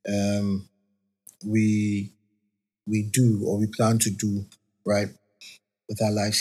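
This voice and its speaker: A man's voice: darkish tone, breathy edge. A man hosting a podcast, casually reflecting on faith in a rambling, self-correcting way. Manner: talks of his high-pitched voice. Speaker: podcast host.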